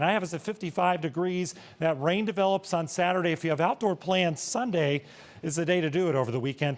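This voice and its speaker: Higher-voiced man giving a breezy forecast. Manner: breezy, informal, folksy asides. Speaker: TV weather forecaster. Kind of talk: live weather forecast, conversational and upbeat. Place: TV studio, standing at weather screen.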